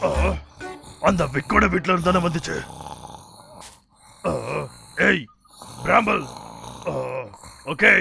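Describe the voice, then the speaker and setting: A gravelly big bear brother. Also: male voice, high voice, takes it slowly, pauses often, pushed and bright, faint music behind, full character voice. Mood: bossy. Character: big older bear brother, calm leader. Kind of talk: Tamil cartoon dub.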